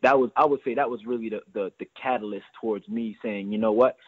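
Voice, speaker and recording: A man's voice: on the low side, steady tone, quick pace, breathy edge, dry recording. A young man in his twenties from New York, a guest talking earnestly on a phone interview, often repeating his words as he goes. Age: 20s. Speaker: guest entrepreneur. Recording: dry tinny phone line.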